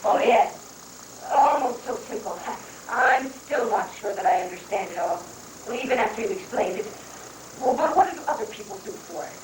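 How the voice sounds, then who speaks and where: A woman's voice: a little high, lively intonation, normal speed. A woman playing a blustering, anxious, folksy male president in a satirical play reading, theatrical and exclamatory. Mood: doubtful. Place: roomy indoor live reading. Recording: roomy, noisy 1980s live recording.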